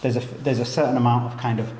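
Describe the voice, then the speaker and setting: Man, mid pitch, laughs often, repeats words, casual storytelling, echoey room, faint noise. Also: fairly even intonation, rapid-fire, darkish tone. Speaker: jiu-jitsu professor and academy co-owner. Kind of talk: casual chat. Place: inside a martial arts gym.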